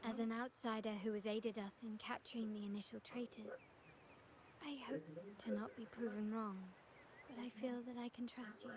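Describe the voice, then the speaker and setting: A woman playing a poised, calculating young heiress of a powerful family in a video game, speaking in a measured, formal way. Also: a little high, little pitch movement, crowd noise, lightly acted. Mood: solemn, resolute. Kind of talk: scripted game dialogue.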